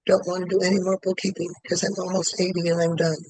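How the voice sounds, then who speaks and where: A man in his late seventies speaks in a high, flat voice, close and dry. He repeats the same statement again and again at a brisk, steady clip as a client in a tapping session. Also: no room sound, low-quality recording. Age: 70s. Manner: repeats one statement over and over in a quick, even rhythm.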